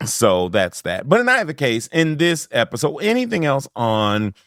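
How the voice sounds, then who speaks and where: A man's voice: medium pitch, huge pitch swings, normal speed, fairly bright tone, no room sound, clean studio sound. A man hosting a podcast, chatting casually and animatedly, laughing often and acting out quotes. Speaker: podcast host. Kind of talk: casual chat.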